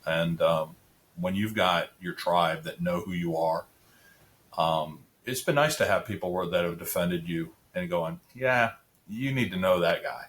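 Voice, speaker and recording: A middle-aged man with a deep voice, chatting casually as a podcast guest, rambling with restarts and filler words. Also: measured pace, a bit bright. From Central Florida area resident. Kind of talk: casual interview chat.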